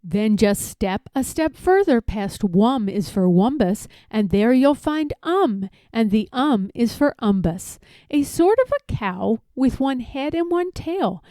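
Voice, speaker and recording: Slow-paced woman reading a children's rhyme. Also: on the high side, expressive, mellow, no room sound, clean studio sound. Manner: drawn-out emphasis on key words. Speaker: read-aloud storyteller. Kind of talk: expressive picture-book read-aloud in rhyme.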